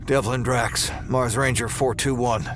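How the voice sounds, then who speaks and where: An actor playing a grim, weary, commanding space ranger in a sci-fi audio drama, with stern, measured delivery. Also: male voice, mid-range voice, steady tone, over music, natural voice. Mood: gruff, guarded.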